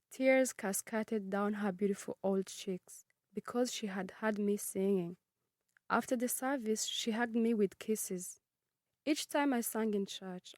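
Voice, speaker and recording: A nineteen-year-old woman from Congo who grew up in Kenya, reading her personal narrative in a measured, earnest way. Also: medium pitch, darkish tone, no room sound, clean studio sound. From Congo, raised in Kenya. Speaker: student narrator.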